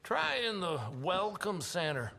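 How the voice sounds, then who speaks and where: A man playing a brisk frontier townsman in a video game. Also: high-pitched, big pitch swings, vocal fry, sharp tone, heavily acted. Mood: offhand, helpful. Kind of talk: video game character acting.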